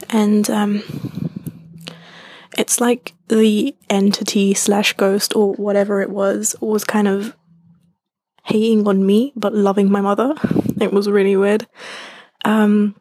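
A woman's voice: medium pitch, gravelly, a little mellow, breathy edge, no echo. A young woman with a Colombian-British accent, telling a story in a casual, rambling way with many fillers. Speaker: listener sending in a story.

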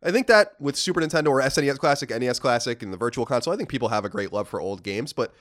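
A man's voice: medium pitch, expressive, racing. A young man co-hosting a podcast in casual chat, sounding stuffy from a cold and reading listener emails aloud. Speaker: podcast co-host.

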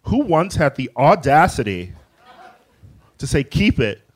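A man co-hosting a podcast, teasing with quick jokes in comedic banter. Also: a little high, big pitch swings. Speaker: podcast co-host.

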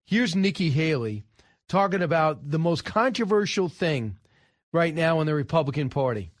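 An American man hosts live talk radio in a slightly high-pitched voice. He sounds close and dry on a studio microphone. His commentary is conversational and rambling, with corrections made along the way. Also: very animated, normal speed, no echo. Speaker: radio show host.

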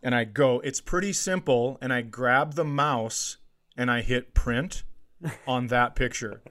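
A middle-aged male comedian hosts with a casual, conversational delivery at a medium pitch. He tells anecdotes crudely, swearing freely and voicing both sides of each exchange. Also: unhurried, fairly bright tone. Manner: crude, swearing, acts out dialogue. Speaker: podcast host, comedian.

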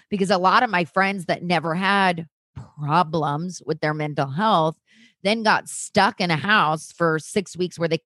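An actress as a podcast guest, talking candidly and with energy in a casual conversation, swearing freely. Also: female voice, on the low side, a bit bright, no room sound, studio quality.

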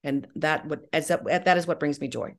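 Middle-aged female interviewee, lowish register with heavy fry, candid, emphatic delivery. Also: moderate pace. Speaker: guest, audio drama writer and director. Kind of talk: reflective interview talk.

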